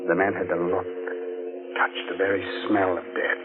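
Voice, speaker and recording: An actor playing a macabre horror host and narrator, theatrical and tongue-in-cheek, in 1940s radio style. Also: male voice, sits low, flat delivery, normal speed, lightly acted. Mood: eerie storytelling. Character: macabre, sardonic horror host telling his ghostly tale. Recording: tinny 1940s radio recording with music.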